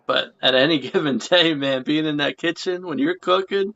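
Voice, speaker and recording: A man's voice: on the high side, moderate pace, vocal fry, pushed and bright. A man in his late twenties hosting a casual interview, rambling and repeating his phrases. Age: late 20s. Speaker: podcast host. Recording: muffled lo-fi audio.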